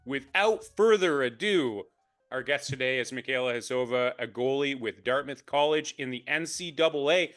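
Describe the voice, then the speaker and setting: A man's voice: mid-range voice, moderate pace, a bit bright, faint music behind. Upbeat male podcast host. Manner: enthusiastic, upbeat. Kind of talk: casual interview.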